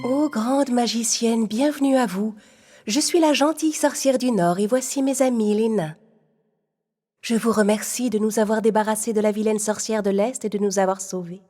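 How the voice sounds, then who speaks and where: A woman voicing a gentle, gracious good witch in a children's cartoon story. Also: on the high side, normal speed, soft background music, lightly acted. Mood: grateful, welcoming. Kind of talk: children's audio story acting.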